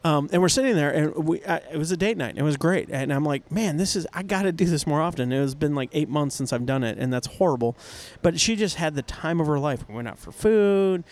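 A man's voice: on the high side, expressive, brisk, few pauses, darkish tone. A middle-aged man from North America co-hosting a casual podcast chat, playful and jokey, often laughing and singing bits. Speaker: podcast co-host.

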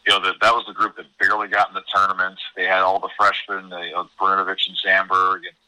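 A low-voiced American man talks through college sports as a guest analyst. His delivery is very bright and forceful, earnest and emphatic, with words often repeated as he builds a point. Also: completely flat delivery, fast, low-quality recording. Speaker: college hockey commentator as podcast guest.

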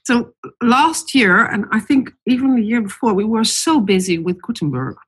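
A woman's voice: fairly high pitch, animated, normal speed, slightly rough. A woman with a Dutch accent, a company CEO speaking frankly and matter-of-factly as a panel guest. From Netherlands. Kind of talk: panel discussion.

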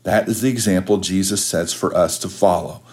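A man who works as a pastor, reading a scripted devotional in measured, deliberate phrases with pauses. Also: sits low, steady tone, darkish tone. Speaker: junior high pastor giving a devotional.

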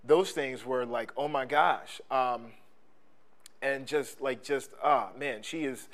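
A man co-hosting a podcast, speaking in a casual conversation, halting with many restarts and ums. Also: mid-range voice, fairly bright tone. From Philadelphia. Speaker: podcast co-host; sports coach and trainer.

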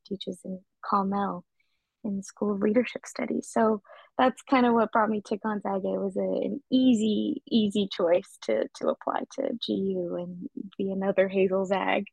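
A young woman speaks as a podcast guest, easygoing and chatty as she recounts growing up between Japan and Washington State. Her mid-pitched voice carries a touch of gravelly fry and sits dry and close on the mic. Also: moderate pace, dry recording, rough recording. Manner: relaxed, friendly storytelling with light laughs. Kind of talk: casual podcast interview.

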